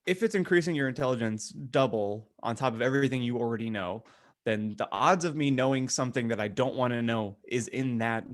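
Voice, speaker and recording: A man with a medium-pitched voice talks on a casual podcast panel. He is informal and jokey as he chats. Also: a little fast. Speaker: podcast co-host.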